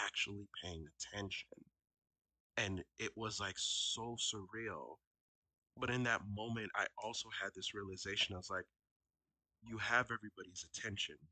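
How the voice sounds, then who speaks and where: Bright-voiced man, Haitian Creole native. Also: on the low side, slightly rough, dry recording. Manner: reflective storyteller, quick to laugh. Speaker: podcast guest, actor and educator. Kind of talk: casual interview conversation.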